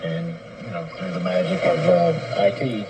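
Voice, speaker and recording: A man hosting a casual radio station talk show, speaking in a measured, halting way. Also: sits low, animated. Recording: noisy shortwave radio broadcast.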